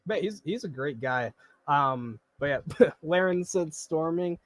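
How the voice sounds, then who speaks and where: Casting: male host, a bit higher voice, easygoing, lots of filler words. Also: a little slow, very noisy. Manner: relaxed, laid-back, lots of "yeah" and "like". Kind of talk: casual chat.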